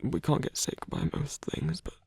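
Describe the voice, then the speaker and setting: A performer in an intimate ASMR roleplay, voicing a gentle, protective supernatural lover with a husky, breathy voice and a hesitant, earnest delivery. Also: normal speed, no room sound, lightly acted. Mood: earnest, devoted.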